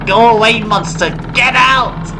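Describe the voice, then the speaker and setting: A man voicing an excitable, easily frightened young tank engine in a children's story, yelping in panicky exclamations. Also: high-pitched, normal speed, background noise, pitched above the performer's own voice, close to an everyday voice. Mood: frightened, defiant.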